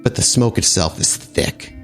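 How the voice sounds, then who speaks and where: A man narrating a tabletop vampire game as its game master, in a dramatic, halting storytelling manner. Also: faint music behind.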